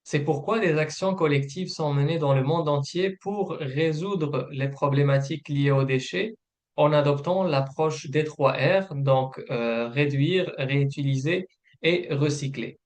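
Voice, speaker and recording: A man presenting a scripted informational webinar in a formal, hesitant manner with frequent filled pauses. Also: fairly high pitch. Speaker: program manager presenting a webinar.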